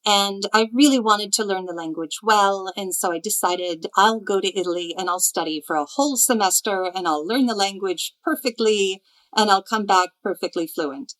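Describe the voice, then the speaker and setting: A woman, chatting relaxed about her path as a translator in an interview, with frequent ums. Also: mid-range voice, normal speed, poor audio. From United States, near Chicago. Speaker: literary translator, guest. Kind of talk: conversational interview storytelling.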